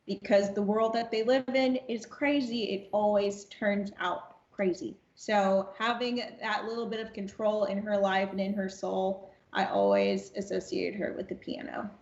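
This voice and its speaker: A woman speaking as a podcast guest in reflective interview talk, deliberate and emphatic, repeating phrases for stress. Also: mid-range voice, steady tone, darkish tone, reverberant, dull audio. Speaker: audio drama cast member, podcast guest.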